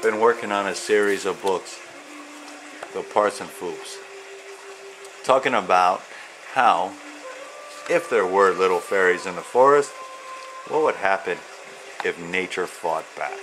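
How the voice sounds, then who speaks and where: A man, an author, presenting and reading a children's storybook in deliberate, measured phrases. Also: sits low, expressive, noisy background. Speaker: author and illustrator reading own children's book. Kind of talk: read-aloud storytelling of rhyming children's book.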